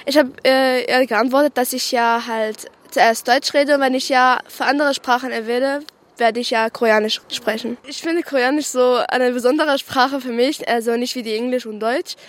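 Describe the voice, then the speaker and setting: A teenage girl explains her own answer in a loose, hesitant way, pausing on fillers as she goes. Her voice is high and bright. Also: fairly even intonation. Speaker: youth radio reporter. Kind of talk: casual explanation.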